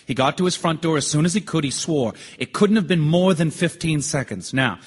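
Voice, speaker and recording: A calm dissenting juror in a radio play, with a slightly high, husky voice. He explains things methodically and presses his point with measured persistence. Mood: insistent. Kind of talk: stage radio drama acting.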